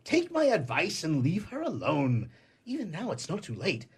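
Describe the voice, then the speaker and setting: A man's voice: a little high, huge pitch swings, moderate pace, slightly rough, heavily acted. An actor playing a jilted, cynical man of the world in a stage comedy, with a sarcastic, declamatory manner. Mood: dry, resigned. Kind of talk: theatrical stage comedy acting.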